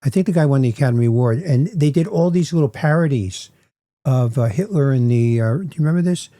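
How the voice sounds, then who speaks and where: A man's voice: mid-range voice, quick pace, warm tone, no echo, clean studio sound. A man hosting a podcast who chats casually and keeps asking his guest questions. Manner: curious, asks many questions. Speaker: podcast host.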